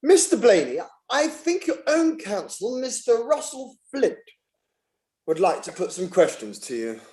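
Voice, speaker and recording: Mellow, animated actress as a presiding judge. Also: female voice, on the high side, unhurried. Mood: courteous, presiding. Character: courteous, brisk female judge presiding over inquiry. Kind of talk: table read of a courtroom play.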